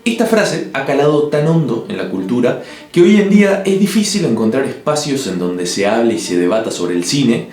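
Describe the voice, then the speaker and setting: Hombre uruguayo de acento rioplatense, sala con eco. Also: male voice, mid-range voice, very animated, moderate pace. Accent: Río de la Plata Spanish. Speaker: video essay host. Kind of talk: scripted narration. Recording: echoey room with soft music.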